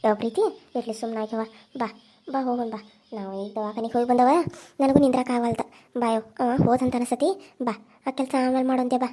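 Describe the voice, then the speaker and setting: A woman's voice: on the high side, flat delivery, fast, pauses often, mellow, pitched below the performer's own voice, close to an everyday voice. A woman voicing a stern older village mother-in-law with a North Karnataka accent, talking in a bossy, scolding way. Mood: weary. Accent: North Karnataka Kannada.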